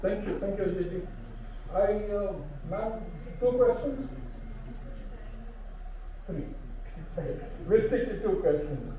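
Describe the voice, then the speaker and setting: A man's voice: sits high, slow, long pauses, dark tone, a little hiss or hum. A man moderating a panel discussion. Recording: echoey hall, distant mic.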